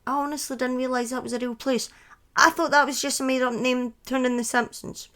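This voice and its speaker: A woman's voice: high voice, flat delivery, a little fast, a bit bright. A woman in her thirties with a Scottish accent, telling true crime stories casually on a live stream, often breaking off into chatty asides and correcting herself. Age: 30s.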